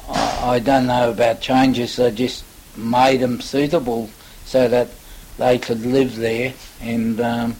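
An older Australian man, in his seventies or beyond, reminiscing in a halting, rambling way for an oral history interview. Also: mid-range voice, flat delivery, a little mellow, low-quality recording. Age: older, 70s or above. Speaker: interviewee recalling local history. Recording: faint hiss, amateur interview recording.

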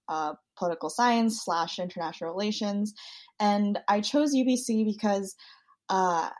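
A woman's voice: lowish voice, a little mellow. A young woman in her twenties, originally from South Korea, answering podcast interview questions fluently and thoughtfully, with some um fillers. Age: 20s. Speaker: student guest.

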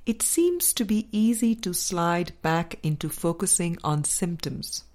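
A woman reading a spiritual book aloud as a scripted narration, with measured, deliberate phrasing in short groups. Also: fairly low pitch, expressive, darkish tone. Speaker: audiobook reader.

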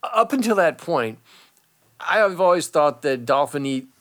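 A man's voice: a little high, measured pace. A middle-aged man in casual chat, telling a long fishing story with lots of fillers and restarts. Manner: rambling, animated, many fillers.

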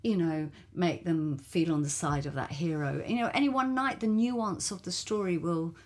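Low husky woman storyteller chatting. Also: moderate pace, darkish tone. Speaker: storyteller guest. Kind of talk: casual podcast chat.

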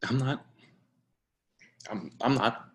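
A man's voice: medium pitch, slow. A man playing a nervous, courteous professional in a screenplay reading, guarded and hesitant in how he speaks. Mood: flustered. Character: Daniel, nervous, polite, reserved male professional. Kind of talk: dramatic screenplay table read.

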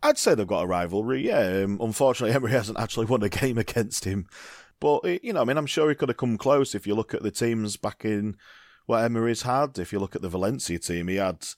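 A man's voice: on the low side, animated, fast, no echo, clean studio sound. A man co-hosting a casual football chat, rambling and leaning on "you know, I mean" as he goes. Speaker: football podcast co-host.

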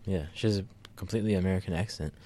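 A man's voice: sits low, racing, mellow. A man co-hosting a casual political podcast, talking thoughtfully with lots of fillers and restarts. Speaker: podcast co-host.

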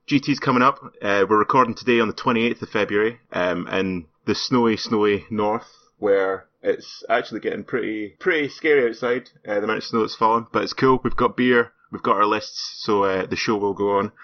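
A man's voice: fairly low pitch, fairly quick, few pauses. A man hosting a podcast in a casual, joking way, cursing now and then. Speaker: podcast host. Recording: slightly muffled podcast audio.

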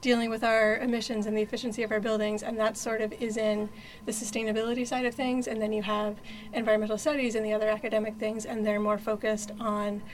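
A woman from New Hampshire in her thirties, giving reflective interview answers as a guest, pausing and restarting often, with dry self-deprecating humor. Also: medium pitch, flat delivery. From New Hampshire, USA. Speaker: sustainability outreach coordinator, guest.

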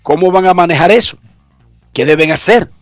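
A man with a notably high voice hosts a casual radio show from his garage, sounding congested with a cold. He talks in a chatty, emphatic way and often repeats his points. The sound is dry and close, with faint music underneath. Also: normal speed, no echo. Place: home garage.

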